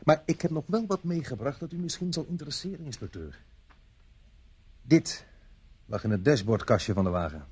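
A man's voice: mid-range voice, animated, moderate pace, pauses often, a little mellow, husky. A man acting in a radio play as a dutiful traffic policeman giving an official, precise, slightly hesitant report.

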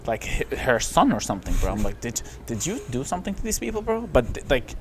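Podcast guest: man from Saudi Arabia, relaxed rambling storyteller, steady middle pitch, boom mic in a studio with a faint ambient hiss. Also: quick pace. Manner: loose storytelling, says "bro" often. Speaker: restaurant co-owner guest. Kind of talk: casual podcast chat. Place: lounge-styled podcast studio. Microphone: headset and boom mic.

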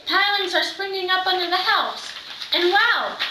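A woman's voice: very high voice, measured pace, noisy background. A teenage girl playing a witch's chatty, bossy sidekick, reading with exclamations in readers' theater. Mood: amazed. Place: living room, far camera microphone. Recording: roomy, distant microphone.

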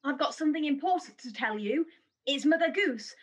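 A woman's voice: sits high, animated, fairly quick, distant mic, slight background noise. A woman playing a timid, fussing village spinster in a radio pantomime. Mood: flustered.

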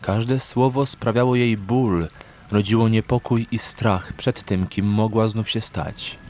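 A man's voice: lowish voice, steady tone, slow, darkish tone, a little hiss or hum. A man narrating a scripted fantasy story as an audiobook narrator. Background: background music.

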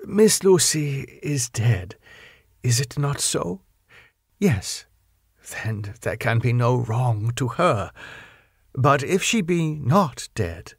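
A man voicing an elderly foreign professor in an audiobook, solemn and compassionate, with deliberate, formal phrasing. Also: medium pitch, very animated, slightly rough, no room sound, studio quality, full character voice. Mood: grave, careful.

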